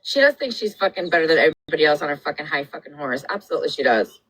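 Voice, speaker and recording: A woman's voice: deep voice, expressive, rapid-fire, a bit bright, husky, no echo, rough recording. A woman in a casual live-stream chat, blunt and sassy, who swears a lot.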